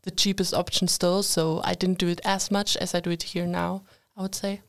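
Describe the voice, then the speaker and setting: Young woman from Germany, native German, lowish voice, relaxed hedging. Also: normal speed, creaky. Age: young adult. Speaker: guest, student. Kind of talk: casual podcast chat.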